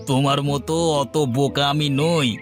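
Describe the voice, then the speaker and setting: A man playing a teasing, amused village friend in a comedy cartoon. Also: mid-range voice. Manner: teasing, chuckling. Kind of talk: Bengali comedy cartoon dialogue. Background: faint music.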